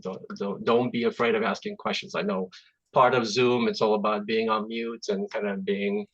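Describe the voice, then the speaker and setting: A man in his forties whose first language is Russian, born in Azerbaijan, giving a relaxed, chatty talk as a guest software engineer over a video call, with many fillers and restarts. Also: on the low side, normal speed, lo-fi sound. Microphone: computer mic on video call.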